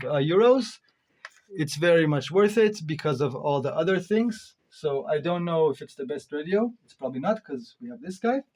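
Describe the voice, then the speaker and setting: A man's voice: on the high side, a little mellow. A man giving a casual hands-on gear review, thinking aloud in an informal way with emphatic stresses. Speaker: reviewer of modular synth gear.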